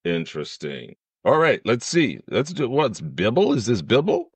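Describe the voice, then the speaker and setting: A man in his forties hosting an enthusiastic, emphatic software tutorial. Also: medium pitch, wildly swooping pitch, moderate pace, breathy edge, no echo. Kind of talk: enthusiastic scripted-sounding screen tutorial.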